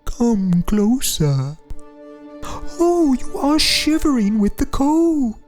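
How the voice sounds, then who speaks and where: A woman's voice: a little high, sing-song, darkish tone, faint music behind. A woman playing a thin, weak old grandmother who coaxes sweetly in an audio drama. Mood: coaxing. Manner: slow, coaxing endearments.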